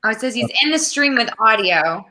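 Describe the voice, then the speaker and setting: A woman's voice: on the high side, animated, brisk, pushed and bright, poor audio. A young adult woman chatting casually as a cast member on a video call. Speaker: cast member, panel guest. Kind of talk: casual chat.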